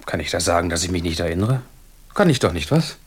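An actor in a German radio drama playing a wealthy master of the house, wry, evasive and given to flowery irony. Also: male voice, deep voice, very animated, fast talker, husky, heavily acted. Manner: wry, ironic, grandiloquent.

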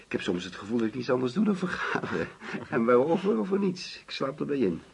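Radio drama: chatty husband nearing retirement, around sixty, easygoing, very varied pitch, noisy recording. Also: male voice, very animated, fast talker, gravelly. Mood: genial, weary.

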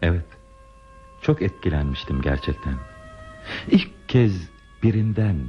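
An actor playing a bashful, wistful man who recalls a lost youthful love in a hesitant, reflective radio drama style. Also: sits low. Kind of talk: radio theatre acting. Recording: dull radio drama recording with music.

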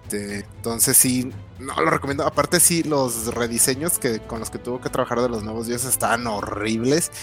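A Mexican man on a panel show about comics, speaking at an ordinary pitch. He pieces his memories together slowly, pausing with frequent fillers. Faint music sits in the background. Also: fairly bright tone. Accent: Mexican Spanish. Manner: hesitant, many "eh" fillers, slow recollection. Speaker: podcast panelist. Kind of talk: casual comic-book chat.

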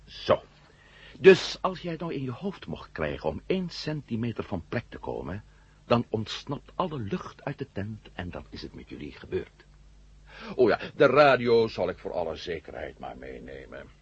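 Cocky wisecracking space hero, really raspy, swoops all over, sardonic, muffled old radio play. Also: male voice, fairly low pitch, sing-song, normal speed, full character voice. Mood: triumphant, brisk. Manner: sardonic, blustering, mocking. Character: defiant, wisecracking male space adventurer hero. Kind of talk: 1970 radio drama acting.

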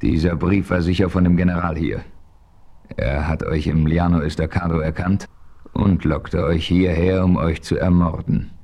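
A seasoned frontier hero who tells the story himself in an old radio play. He speaks calmly and with authority, in a very low voice. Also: gravelly, lo-fi sound. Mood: firm. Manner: calm, measured, authoritative. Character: seasoned frontier hero narrating his adventure. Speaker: narrator. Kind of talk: 1970s radio play narration.

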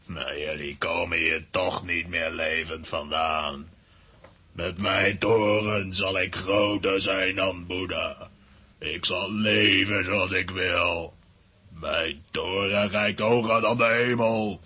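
A man playing a boastful, menacing giant tyrant in a children's audio drama, slow and bellowing, with a rough deep voice. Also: steady tone, pushed and bright, exaggerated voice. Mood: haughty, threatening.

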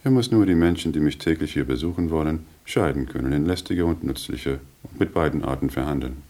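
An actor playing a composed, wealthy businessman back from America in a German radio play, polite and deliberate. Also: a bass voice, warm tone. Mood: businesslike.

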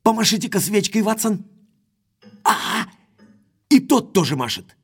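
An actor playing a hot-headed, lovestruck young baronet who speaks bluntly and emphatically in an audio drama. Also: male voice, high voice, big pitch swings, unhurried, gravelly, slightly rough, exaggerated voice. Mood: excited, angry.

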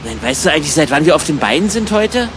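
A man's voice: fairly high pitch, big pitch swings, fast talker, noisy background. A man playing an eager, practical young German emigrant in a radio play, chatty and mildly exasperated as he talks and narrates. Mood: eager, impatient.

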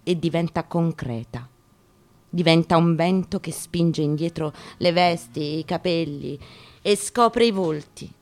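A woman's voice: low-pitched, unhurried. A woman, a stage actress, giving a dramatic theatrical reading of a memoir with guitar behind her. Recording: acoustic guitar playing behind the voice.

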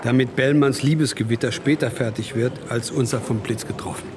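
A man plays a suave film producer in a radio play, calculating and evasive under questioning. Steady background noise runs under his voice. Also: mid-range voice, brisk, close to an everyday voice.

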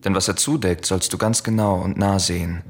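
An actor playing a cold, vengeful young prince in a radio play of Greek tragedy, stern and commanding with a husky voice. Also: male voice, sits low, steady tone, fairly quick. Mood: cold, taunting.

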